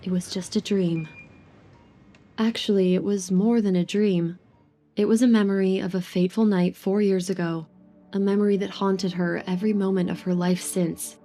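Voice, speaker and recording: A woman's voice: medium pitch, fairly even intonation, normal speed, warm tone, soft background music. A woman narrating a dramatic scripted story as an audio drama narrator.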